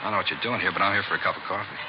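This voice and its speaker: An actor playing a big, wary, surly drug pusher with curt, challenging street slang in a 1950s radio crime drama. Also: male voice, deep voice, steady tone, rapid-fire, natural voice. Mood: guarded. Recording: dull, noisy vintage radio recording.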